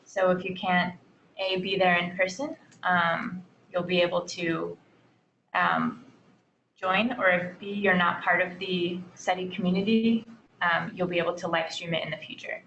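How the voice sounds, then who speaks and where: A woman hosting an online meeting, giving casual updates in a relaxed, informal way with frequent fillers, on a video call. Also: fairly low pitch, measured pace. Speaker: meeting host. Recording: dull, echoey, faint noise.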